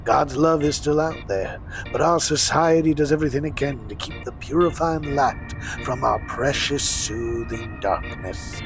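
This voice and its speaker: A man's voice: mid-range voice, measured pace, over music, lightly acted. A man playing a damning radio preacher in an audio drama, with a rhythmic sermon cadence. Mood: fervent righteous. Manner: rhythmic cadence, sudden surges and drops.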